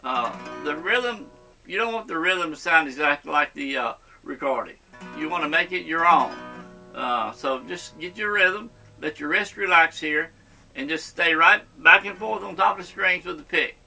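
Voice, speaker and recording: A man teaches guitar in a sharp, very bright voice that sits slightly high. He goes step by step and reassures the learner, checking in often. Guitar music sounds along with his talk. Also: animated, moderate pace, vocal fry, poor audio. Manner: encouraging, reassuring. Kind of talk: instructional guitar lesson, step by step.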